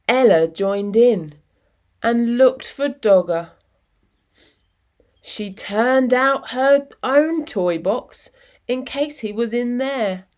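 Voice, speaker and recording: Woman doing kids storytime read aloud, super slow, expressive w/ big pauses, normal pitch. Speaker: storytime reader. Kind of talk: children's picture book read-aloud.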